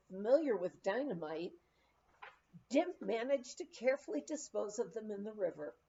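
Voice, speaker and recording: Slow, high-voiced woman reading a memoir. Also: warm tone, lo-fi sound. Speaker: audiobook reader. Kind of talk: scripted memoir narration.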